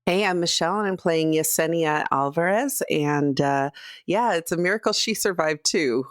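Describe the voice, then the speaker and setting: A woman's voice: sits low, normal speed, dry recording, clean studio sound. A woman chatting casually and informally as a player in a tabletop role-playing game.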